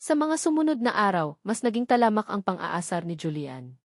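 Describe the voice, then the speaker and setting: A woman narrating a first-person romance story in a gentle, reflective manner into a close mic. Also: mid-range voice, big pitch swings, normal speed, no echo.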